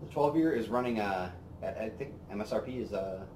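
Young guy with a lowish voice rambling about bourbon outside, echoey, faint background hum. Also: a little fast. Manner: relaxed, rambling, lots of fillers. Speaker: whiskey review host. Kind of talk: casual tasting chat. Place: outdoor patio by a brick wall. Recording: roomy outdoor sound with faint ambience.

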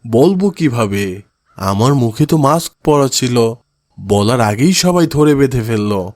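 A man's voice: mid-range voice, lively intonation, a little slow, a little mellow, no echo, studio quality. A man voicing a kindly, good-humoured old grandfather in a cartoon moral story. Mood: explaining, good-humoured.

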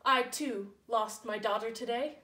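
Young woman playing high-voiced theatrical puppet lord. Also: a little slow, pushed and bright. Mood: emotional. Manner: theatrical, declamatory. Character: commanding, forgiving sorcerer and grieving king, sock puppets. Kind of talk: amateur puppet-show acting. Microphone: phone camera at a distance. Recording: roomy, distant phone camera sound.

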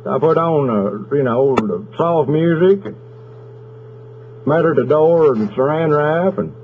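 A man's voice: a little high, unhurried, close to an everyday voice. Guy doing a mad oklahoma country husband on a prank call, southern accent, rambly threats, crappy phone sound. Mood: frustrated. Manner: folksy, rambling, deadpan menacing. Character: aggrieved, belligerent country husband threatening a whooping. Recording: noisy, tinny telephone line.

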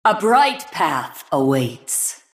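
A woman voicing a serene, ageless celestial guardian in a fantasy game, making solemn, lofty declarations in a stately, measured manner. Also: sits low, very animated, echoey room, exaggerated voice, rough recording. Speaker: game character voice. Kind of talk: scripted fantasy game voice lines.

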